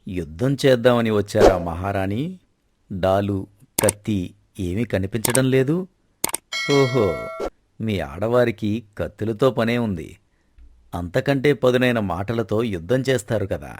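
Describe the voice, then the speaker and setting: A man's voice: lowish voice, unhurried, pauses often, background noise, lightly acted. A man acting a proud, commanding middle-aged king in a story drama, speaking in a formal, stately way. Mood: teasing, wry. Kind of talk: scripted Telugu moral-story dramatization.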